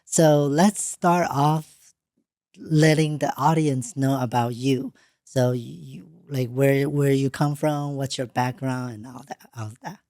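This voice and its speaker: A woman, not a native English speaker, hosting an interview in a studio, talking in a halting way with frequent restarts. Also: extremely low pitch, fairly even intonation, dark tone, no room sound. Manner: halting, restarts often, plain unpolished English. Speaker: podcast host. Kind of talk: casual interview.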